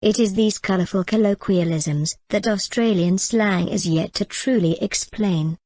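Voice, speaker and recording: Female reader, medium pitch, formal model-answer delivery, no room echo. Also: measured pace, mellow. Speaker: exam practice reader. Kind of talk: scripted read-aloud model answer.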